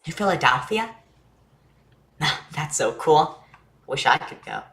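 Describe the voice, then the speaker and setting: A bright, forward voice: a woman playing a young schoolboy in a drama reading. He is curious and earnest, talking in an eager, informal, questioning way, a little low for a woman. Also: animated, slow, exaggerated voice. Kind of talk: staged reading of family drama.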